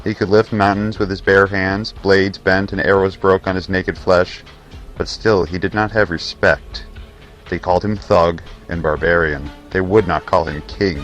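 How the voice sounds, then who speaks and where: A man's voice: low-pitched, steady tone, moderate pace. A man narrating a scripted fantasy tale as the storyteller of an audio drama. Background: faint music.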